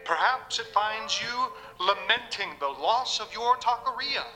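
High, exuberant Southern radio preacher. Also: male voice, expressive, a little slow, bright, forceful tone, full character voice, low-quality recording. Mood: folksy, grandiose. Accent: American South. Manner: florid, theatrical, homespun oratory. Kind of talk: old-time gospel radio show hosting.

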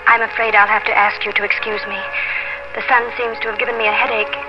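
A woman's voice: on the high side, flat delivery, fast talker, highs cut off, natural voice. An actress playing a sincere, wistful young colonial woman, narrating and acting in a 1950s radio drama with composed literary phrasing. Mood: hurt composure. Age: young adult. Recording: band-limited 1950s radio, loud music.

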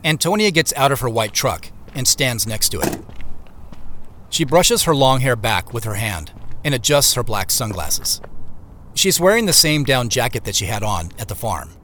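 A man's voice: mid-range voice, very animated, moderate pace, fairly bright tone, a little hiss or hum. An actor playing a reflective man who narrates his own story in scripted, descriptive audio drama narration. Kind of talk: scripted first-person narration. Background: music.

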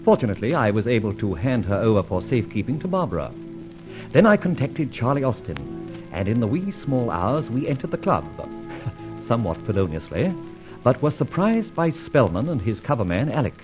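An actor playing a suave, debonair gentleman adventurer in an old radio serial, urbane, wordy and teasing in a mock-formal way. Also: mid-range voice, sing-song, moderate pace, over music, close to an everyday voice, poor audio. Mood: wry, urbane.

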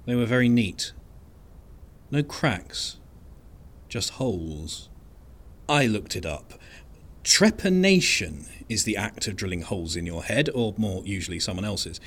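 A man playing an unassuming, wry and resigned archivist in an audio drama monologue, speaking in a dry, understated, conversational way with hesitant pauses. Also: on the low side, lively intonation. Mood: matter-of-fact, wry. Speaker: narrator of an audio fiction podcast.

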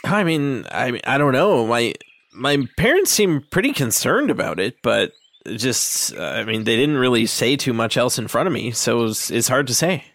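A man voicing a level-headed teenage half-orc boy, speaking plainly and patiently to a slow-witted friend. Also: medium pitch, big pitch swings, a bit bright. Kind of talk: in-character roleplay.

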